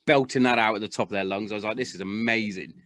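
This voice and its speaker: A man's voice: lowish voice, fairly even intonation, quick pace, fairly bright tone, no echo, studio quality. A man from Hereford hosting a podcast, chatting in a blokey way and swearing freely. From Hereford, England. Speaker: podcast host. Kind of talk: casual podcast chat.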